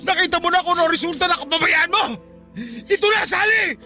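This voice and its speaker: A grieving, furious father in a radio melodrama. His voice is pitched extremely high and rings very bright and forceful. He delivers everything with anguish and accusation, over faint music. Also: fairly quick, heavily acted. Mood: furious, accusing. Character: grieving, furious working-class father blaming his wife. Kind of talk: melodramatic radio drama acting.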